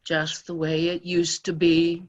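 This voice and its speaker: A woman acting a wistful, sentimental older woman in a radio play, telling things tenderly and haltingly. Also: on the low side, completely flat delivery, dull audio, lightly acted.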